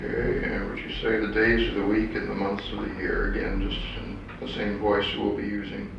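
A man interviewing for an oral history, hesitant with many pauses and restarts, on an old tape recording. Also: fairly low pitch, monotone, gravelly. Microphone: tape recorder microphone. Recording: distant, echoey old tape recording with hiss.

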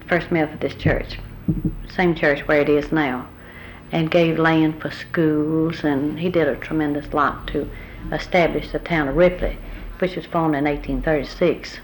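An older woman, unhurried and pausing as she recalls family history in a taped oral history interview. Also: low voice, fairly even intonation, low-quality recording. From Southern United States. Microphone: tape recorder microphone. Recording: old tape recording with faint hiss.

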